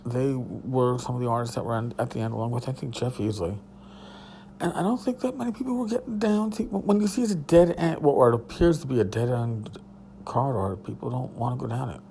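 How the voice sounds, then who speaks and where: A tired man with a hoarse voice, hosting a casual solo podcast from a hotel room and rambling loosely with a bit of swearing. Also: mid-range voice, a little fast, mellow, slight background noise. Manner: rambling, profane, restarts. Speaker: podcast host.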